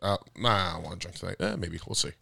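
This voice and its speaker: A man's voice: deep voice, fast, gravelly, bright, forceful tone, slightly rough, no echo, clean studio sound. An American man co-hosting a podcast, trading casual, slangy banter with a friend and swearing freely. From New York, USA. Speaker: podcast co-host.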